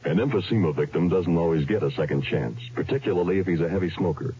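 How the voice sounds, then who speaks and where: A deep male announcer reads a public-service spot quickly and earnestly. The recording is a dull, vintage radio spot. Faint noise runs behind him. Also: mellow.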